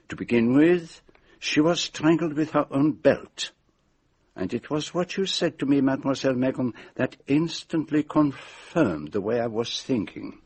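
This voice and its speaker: Shrewd belgian detective, french-speaking accent, bit husky, swooping pitch, careful dramatic pauses, radio play. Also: male voice, a little mellow. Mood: measured, assured. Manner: deliberate, precise, dramatic pauses. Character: shrewd, theatrical middle-aged Belgian male detective.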